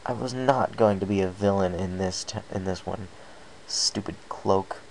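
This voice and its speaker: Guy narrating a story, gravelly fry in his voice, bit of background noise, homemade recording. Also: low-pitched, moderate pace, darkish tone, poor audio. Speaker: amateur story reader. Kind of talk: first-person scripted story narration.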